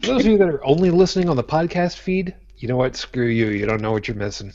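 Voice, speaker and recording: A man's voice: mid-range voice, expressive, fast, a little mellow, no room sound, dull audio. A man from co-hosting a live show in casual chat, blunt and profane. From Brooklyn, New York. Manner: blunt, jokey, profane, with many restarts. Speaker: podcast co-host.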